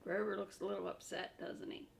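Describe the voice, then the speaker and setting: A woman's voice: medium pitch, level tone, fairly quick, creaky, a little hiss or hum. An older woman, a grandmother, casually introducing and reading a picture book to children. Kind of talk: casual storytime read-aloud.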